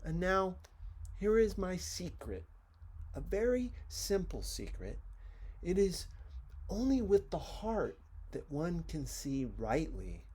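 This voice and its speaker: A man reading as a wise, gentle male fox, patient and earnest as he explains. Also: high-pitched, big pitch swings, slow, slightly rough, voice pushed up, heavily acted.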